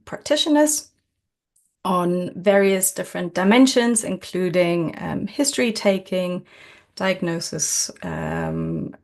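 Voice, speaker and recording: A woman hosting an informal online journal club over a video call, explaining a paper in a hesitant way and pausing often to find her words. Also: medium pitch, gravelly. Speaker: journal club presenter and host. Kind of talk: informal online seminar presentation.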